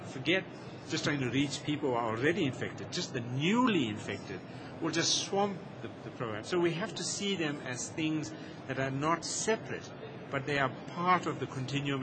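A man, a physician, speaking on a panel in a loud, emphatic and earnest way. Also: medium pitch, background noise. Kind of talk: panel discussion.

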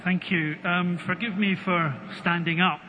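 A Scottish man speaking on a conference panel, halting with many ums and a dry wit. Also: sits high, steady tone, dull audio. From Scotland. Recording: long hall reverb, faint noise.

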